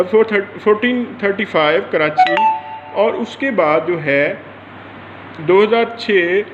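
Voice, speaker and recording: A man from Pakistan with a Pakistani accent giving an explanatory law lecture, restating his points in a didactic way and mixing in English legal terms. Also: high voice. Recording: roomy echo, dull lo-fi sound, faint noise.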